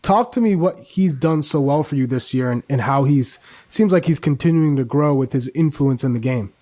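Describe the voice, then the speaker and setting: A man's voice: medium pitch, moderate pace, dark tone. A man co-hosting a soccer show, putting a question to a coach in a direct, conversational way. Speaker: show co-host. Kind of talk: interview question.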